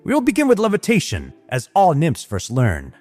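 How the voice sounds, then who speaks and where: A dutiful insect-creature officer, performed by a man, formal and earnest. The pitch swings very widely and sits a bit high, with long hall reverb on the voice. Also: huge pitch swings, moderate pace, a little mellow, soft background music. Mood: solemn, determined. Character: dutiful changeling officer tutoring a young prince. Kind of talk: dramatized story narration.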